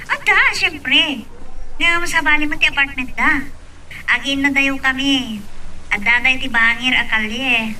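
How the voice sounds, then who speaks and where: Woman playing eager young ilocano clerk girl, really high voice, radio drama style, kinda distant mic. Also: unhurried, pauses often, pushed and bright, heavily acted. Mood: chatty, upbeat. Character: young Filipina overseas office clerk, eager and hopeful. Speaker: actor in an audio drama. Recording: distant mic with faint noise.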